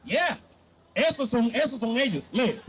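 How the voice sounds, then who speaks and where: High-voiced man preaching, insistent. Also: sing-song, unhurried, breathy edge, low-quality recording. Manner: insistent, interrupting, quizzing. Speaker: street preacher leading a debate. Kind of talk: street Bible debate, rapid questioning.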